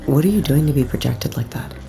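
A woman's voice: very deep voice, lively intonation, fast, gravelly, over music, exaggerated voice. A woman acting a jaded, foul-mouthed character in an audio drama, blunt and casual in a naturalistic way. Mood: puzzled, amazed.

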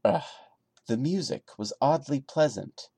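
A man's voice: medium pitch, lively intonation, unhurried, gravelly, warm tone, breathy edge, dry recording. A man hosting a podcast, giving a dramatic reading broken up by sarcastic, exasperated asides. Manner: sarcastic, exasperated commentary breaking into the narration. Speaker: podcast host.

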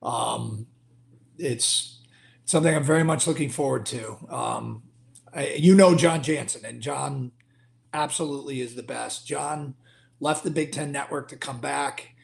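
A man, a sports broadcaster, chatting casually as a guest and telling anecdotes in a self-deprecating, halting way. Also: mid-range voice, creaky. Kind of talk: casual interview chat, anecdotes.

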